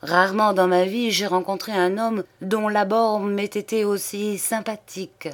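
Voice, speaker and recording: A woman's voice: medium pitch, level tone, unhurried, natural voice. A woman reading an audiobook in the part of a thoughtful, courteous male magistrate, speaking formally and with measure. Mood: earnest, admiring. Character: grave, pensive, courteous male examining magistrate. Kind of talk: audiobook character dialogue.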